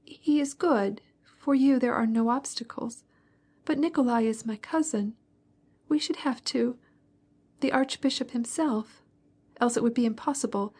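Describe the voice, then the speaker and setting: A heartbroken young girl, tearful and anxious, as a woman reader puts her on. Her voice sits high and breathy, often sinking to a trembling whisper. The sound is soft and husky rather than crisp. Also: steady tone, measured pace, long pauses.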